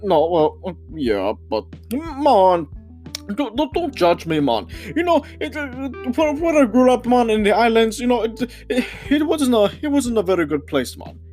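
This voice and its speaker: A man's voice: very high-pitched, big pitch swings, moderate pace, a little mellow, faint music behind. A man voicing a stammering male warehouse supervisor with a put-on Trinidadian accent, who repeats words and ends lines with "man". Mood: indignant. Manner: stammering, touchy and indignant. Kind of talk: improvised comedy podcast character.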